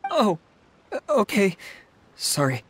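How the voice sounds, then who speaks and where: Halting, timid, awkward bureaucrat. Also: male voice, high-pitched, huge pitch swings, slight background noise, heavily acted. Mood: nervous, halting. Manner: halting, hesitant, stammering, fussily precise. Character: timid, awkward, literal-minded male bureaucrat. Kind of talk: video game voice acting.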